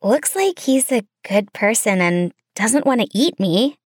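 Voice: mid-range voice, very animated, a little slow, no room sound, clean studio sound. A storyteller voicing a kind, brave youngest daughter in a fairy tale, sweet and earnest. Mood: wondering.

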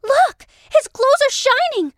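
A kind, playful little girl in a children's storybook tale. The voice sits extremely high and swings expressively, yet takes its time over the words. The recording is dry and close. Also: lively intonation, slow, no room sound, full character voice. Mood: startled. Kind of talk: children's storybook audio drama.

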